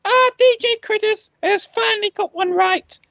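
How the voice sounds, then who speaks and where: A woman's voice: very high voice, moderate pace, creaky, a bit bright, dry recording, highs cut off. A woman co-hosting a comedy podcast, teasing and chatty as she keeps score. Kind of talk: comedy quiz chat.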